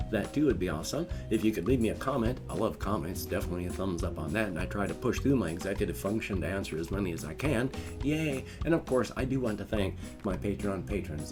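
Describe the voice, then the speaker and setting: An older man with a nasal, congested voice, wheezy from a cold, rambling in a casual solo vlog at home, with dry self-mocking asides. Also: fairly low pitch. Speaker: vlogger. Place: at home in his chair. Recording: guitar music in background.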